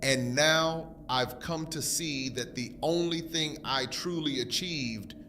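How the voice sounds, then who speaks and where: A man's voice: on the high side, takes it slowly, pushed and bright, a little hiss or hum, full character voice. A man playing a grave, grief-stricken fallen king who blames himself, speaking theatrically in an audio drama. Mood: bitter regret. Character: grief-stricken, self-blaming fallen king, formerly a zookeeper.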